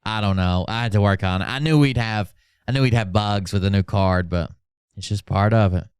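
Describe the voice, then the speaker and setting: Clear-voiced sarcastic man on a livestream. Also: lowish voice, brisk, no room sound, clean studio sound. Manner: sarcastic, profane. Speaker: channel host doing mocking dramatic readings. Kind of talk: casual livestream commentary.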